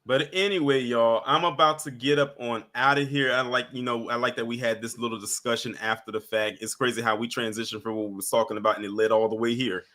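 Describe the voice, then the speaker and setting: A man's voice: medium pitch, steady tone, fast talker, hardly any pauses, sharp tone. A man hosting a casual livestream, reading out viewer comments in a laid-back way with frequent chuckles. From US East Coast. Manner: laid-back, chuckles often, trails off and restarts. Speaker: livestream host.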